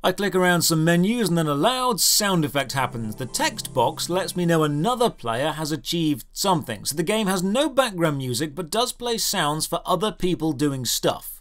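Animated man narrating a sardonic game review. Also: a little high, moderate pace, few pauses. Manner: dry, sardonic, emphatic delivery. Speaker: video host, game reviewer.

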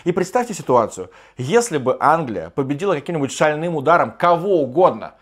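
A man in his thirties hosting a football show from a small room, his voice strained and partly hoarse, talking emphatically with mock-enthusiastic asides. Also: a little high, big pitch swings, brisk. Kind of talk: animated football commentary monologue. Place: small room with sofa, indoors.

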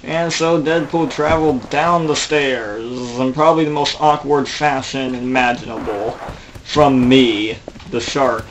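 A man's voice: mid-range voice, noisy background. Rambling self-mocking male narrator. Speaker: narrator and video maker. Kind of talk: improvised comedy narration over game footage.

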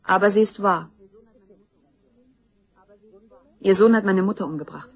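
Grim, husky young woman seeking justice. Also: mid-range voice, quick pace. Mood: grave, accusing. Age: young adult. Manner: accusing, insistent. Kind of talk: German crime radio play acting.

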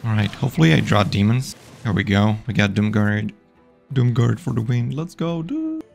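A man's voice: mid-range voice, big pitch swings, normal speed, vocal fry, a little mellow, faint music behind. A man streaming a digital card game live, playfully chatting with his opponents and viewers.

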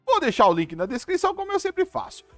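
A woman with a Brazilian accent giving casual, expressive gameplay commentary and reading dialogue aloud as host. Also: very high voice, quick pace, dry recording, faint music behind. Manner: expressive, informal.

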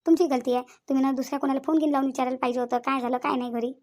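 A woman acts out a practical middle-aged village matriarch in a comedy cartoon. Her high voice runs quickly through long, repetitive reassurances in a Varhadi Marathi accent, always trying to keep the family peace. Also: flat delivery, a little mellow, lightly acted. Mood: calming, insistent. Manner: talkative, reassuring, repetitive persuasion.

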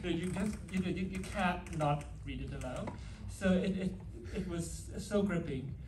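A man's voice: high-pitched, normal speed, darkish tone, slight background noise. Older man, faint Trinidadian accent, hesitant director on a stage panel, handheld mic heard from far off in an echoey hall. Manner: hesitant, many restarts and fillers. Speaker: film director. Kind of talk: panel Q&A answer. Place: theatre stage before an audience. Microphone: handheld mic, recorded from far in the hall. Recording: roomy hall audio from far off.

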